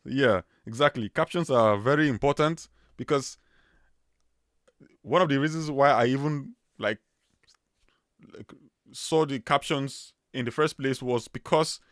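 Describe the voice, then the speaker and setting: A man's voice: mid-range voice, unhurried, pauses often, no echo, clean studio sound. A man with a strong Nigerian accent hosting a casual livestream, chatty and loose with many fillers and restarts. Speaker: livestream host.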